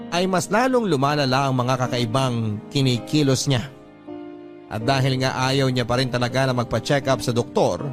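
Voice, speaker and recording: A man's voice: mid-range voice, close to an everyday voice. A man playing a devoted, worried husband who narrates his own story in an earnest, heartfelt way. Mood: anguished, hopeful. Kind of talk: scripted dramatic narration of a letter. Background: background music.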